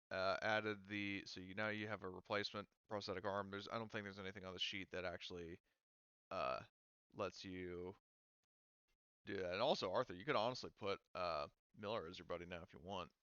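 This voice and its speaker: A man's voice: sits low, steady tone, normal speed, sharp tone, clean tone, no echo, lo-fi sound. A man acting as game master in casual tabletop talk, explaining things in a hedging way.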